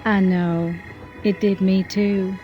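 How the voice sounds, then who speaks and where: A woman's voice: medium pitch, little pitch movement, slow, highs cut off, faint music behind, close to an everyday voice. A woman acting a poised, teasing, romantic mature character in a soap-opera audio drama. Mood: teasing, curious.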